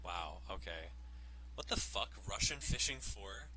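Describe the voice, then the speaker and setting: A man's voice: deep voice, lively intonation, a little slow, crowd noise, rough recording. A man hosting a let's play, reading game text aloud and cracking crude jokes between lines. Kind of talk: casual gameplay commentary and reading aloud.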